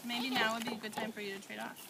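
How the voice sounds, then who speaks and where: A woman's voice: a little high, flat delivery, racing, gravelly. A woman narrating a children's puppet show and directing the young performers. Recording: very noisy amateur room recording.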